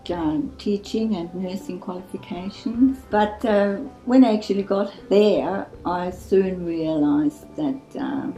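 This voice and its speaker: A woman's voice: lowish voice, warm tone, roomy sound, rough recording. An older woman recounting her time as a church volunteer, hesitant and full of fillers. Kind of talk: interview testimony.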